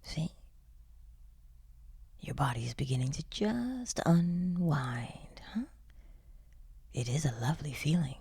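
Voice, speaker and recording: A woman guides a sleep meditation at a very slow pace, soothing and gently encouraging. Her voice is low and soft, often dropping to a breathy near-whisper with some creak at the edges. She takes her time and lets each instruction settle. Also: expressive, no echo.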